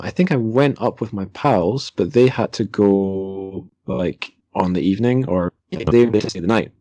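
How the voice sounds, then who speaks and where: A young man with a deep voice talks casually about books as a podcast host. He wanders through anecdotes with hesitant phrasing, recorded close and dry with almost no room sound. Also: mellow, dry recording. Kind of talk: casual book discussion, anecdotal.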